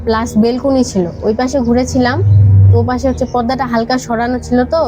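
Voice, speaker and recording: A woman's voice: fairly high pitch, little pitch movement, a little fast, poor audio. A young woman with a Bangladeshi accent recounting a personal ghost experience in a conversational way as a podcast guest. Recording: music playing behind the voice. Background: background music.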